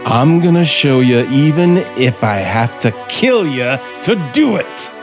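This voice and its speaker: Bitter, slow-talking American scriptwriter. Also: male voice, mid-range voice, sing-song, music in the background. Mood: seething, menacing. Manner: sardonic, deliberate, intense. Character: bitter, frustrated male scriptwriter, sardonic, seething with resentment. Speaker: actor in a radio drama. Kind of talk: 1950s American radio acting. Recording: vintage 1950s radio recording.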